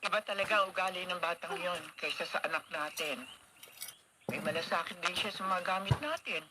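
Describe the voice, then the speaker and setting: A woman's voice: lowish voice, brisk, close to an everyday voice. An actress playing a sensible, fair-minded middle-aged mother in a radio drama, motherly and easily exasperated. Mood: troubled. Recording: noisy radio drama broadcast.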